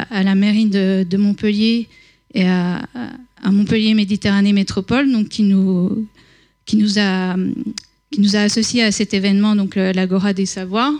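A woman's voice: mid-range voice, darkish tone. A woman organizer introducing a public lecture, hesitant, with many fillers and restarts. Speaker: conference organizer introducing speaker.

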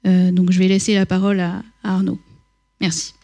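A woman's voice: lowish voice, monotone, brisk, dark tone. A woman organizer introducing a public lecture, hesitant, with many fillers and restarts. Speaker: conference organizer introducing speaker.

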